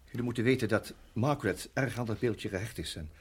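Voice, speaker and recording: A troubled middle-aged father in a radio play. His voice sits low and is rough and husky. He speaks hesitantly, repeating words and trailing off, as if shaken. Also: fairly quick. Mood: haunted, brooding. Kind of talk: radio drama acting.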